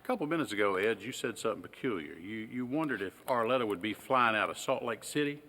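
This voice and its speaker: A man playing a patient, shrewd small-town sheriff in a film, halting and folksy in a deadpan, polite way. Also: on the low side, full character voice. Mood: dry, methodical. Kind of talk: naturalistic film acting.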